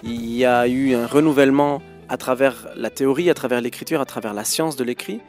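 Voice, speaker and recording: A man's voice: mid-range voice, brisk, a little mellow, faint music behind. A man in his thirties giving thoughtful interview answers as a guest musician, hesitating often with fillers and restarts.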